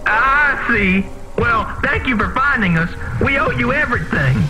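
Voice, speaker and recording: An actor playing an earnest, masked refugee from underground vents in a video game, pleading in dramatic, formal wording. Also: male voice, extremely high pitch, animated, measured pace, loud music behind the voice, poor audio. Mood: grateful.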